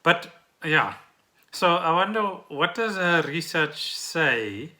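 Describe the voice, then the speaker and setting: A man's voice: fairly high pitch, very animated, slow pace, pushed and bright. A middle-aged South African man co-hosting a podcast, chatting casually and asking questions. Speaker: podcast co-host.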